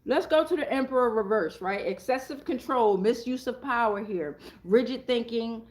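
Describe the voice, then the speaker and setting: A woman with a fairly high voice leads a casual, improvised spiritual card reading. She is blunt and slangy, swears freely, and repeats phrases to drive them home. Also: normal speed, low-quality recording. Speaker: tarot reader hosting a reading.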